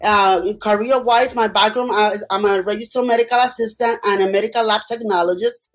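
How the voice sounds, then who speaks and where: A woman's voice: mid-range voice, fairly even intonation, brisk, soft background music. A middle-aged woman with a Puerto Rican accent and Spanish as her first language, telling personal stories as an interview guest and often checking in with "okay?". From Puerto Rico. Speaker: interview guest, healthcare worker.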